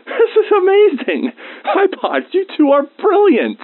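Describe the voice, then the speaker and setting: A man's voice: very high-pitched, huge pitch swings, slow pace, no echo, full character voice. Actor as male researcher on tinny radio log. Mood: excited, relieved. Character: male facility researcher logging notes, fond of creatures. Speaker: researcher recording a personal log. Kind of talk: scripted audio diary narration, semi-formal. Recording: dry, thin phone-like radio sound.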